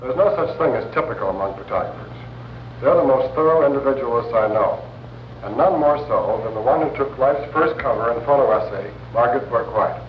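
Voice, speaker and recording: A man's voice: mid-range voice, slight background noise. A man presenting in a scripted, formal narration style. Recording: echoey, distant, muffled archive broadcast.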